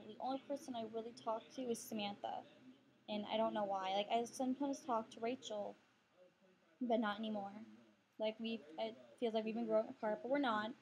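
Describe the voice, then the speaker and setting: A woman's voice: a little high, darkish tone, poor audio. A teenage girl with a Texan accent, rambling and chatty with lots of fillers, talking to her webcam from a couch at home. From Texas. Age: teens. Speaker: vlogger. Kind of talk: casual webcam vlog. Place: living room couch indoors. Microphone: webcam on computer.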